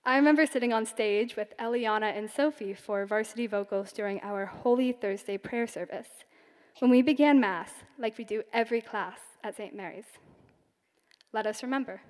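A young woman speaking earnestly at a podium as class valedictorian, giving a commencement speech in a large concert hall. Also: on the high side. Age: young adult. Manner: earnest, measured delivery. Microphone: podium microphone. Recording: long hall reverb.

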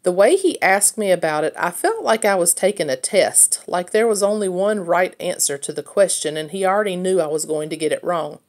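Woman, slightly low voice, expressive read-aloud, children's chapter book narration. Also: fairly quick, hardly any pauses. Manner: acts out dialogue as a child narrator's story.

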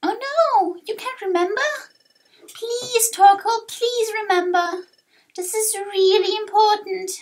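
A woman's voice: very high voice, takes it slowly, pitched above the performer's own voice. A woman voicing an earnest, anxious young fox creature in a video game, eager and pleading in manner.

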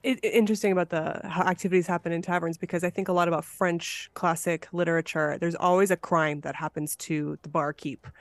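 Woman, American accent, smooth clear voice, dry sound, casual podcast host. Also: fairly low pitch, fairly even intonation, fairly quick, hardly any pauses, no room sound.

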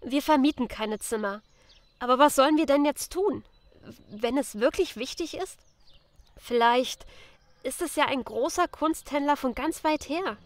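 A woman's voice: high voice, unhurried. A woman playing an eager, deferential lady's maid with flustered politeness in a comic fairy-tale radio play. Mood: pleading.

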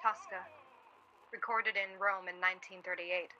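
A woman's voice: medium pitch, fairly even intonation, moderate pace, muffled recording, background noise, close to an everyday voice. An actress playing a spirited, dry-humoured young woman of the 1940s in a radio play, speaking matter-of-factly.

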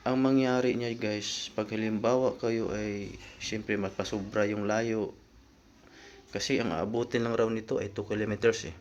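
A man with a fairly low voice talks in a casual, explanatory vlog style. He speaks informally and directly to his viewers, as if walking friends through a topic. There is faint ambient noise behind him. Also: fairly even intonation, moderate pace. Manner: informal, explanatory, often addressing viewers as guys. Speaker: vlogger.

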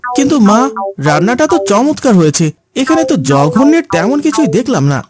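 A man's voice: extremely high pitch, sing-song, fairly quick, music in the background. A man voicing a mild, earnest son and husband in a cartoon, politely protesting. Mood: puzzled. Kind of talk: cartoon voice acting.

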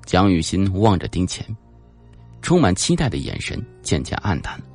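Male narrator for suspense audiobook: deep, gravelly, dramatic, deliberate delivery. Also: huge pitch swings, a little mellow, faint music behind. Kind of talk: scripted suspense novel narration.

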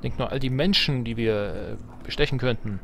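A man's voice: medium pitch, brisk, vocal fry. A man giving casual, jokey gaming commentary as a let's play host, playfully theatrical and muttering asides to himself. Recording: music behind the voice. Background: game music.

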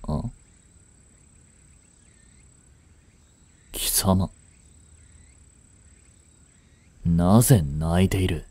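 A man's voice: sits low, measured pace, mellow, breathy edge, no echo. A man playing a cold, haughty young prince in a romantic audio drama, curt and imperious but secretly protective. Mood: cold, commanding.

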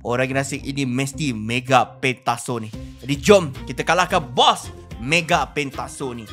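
A Malaysian man hosting a gaming video with excited, animated roleplay commentary, exclaiming and drawing out words. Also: medium pitch, soft background music. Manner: animated, exclaiming, drawn-out shouts.

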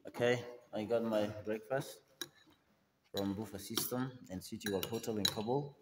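A man's voice: fairly low pitch, normal speed, big-room sound, noisy background. A man in his twenties with an Afghan accent, narrating a casual vlog as a tour guide in short, repetitive phrases. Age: 20s. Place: indoors in hotel halls, rooms and dining room. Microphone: phone at arm's length.

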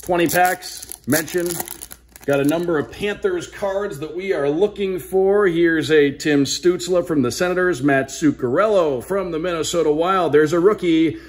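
A man with a slightly high voice presents an unboxing in an upbeat, casual way. He speaks directly to viewers like a host guiding them through each item. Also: normal speed. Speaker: sports broadcaster hosting a card unboxing. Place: indoor room at a wooden table.

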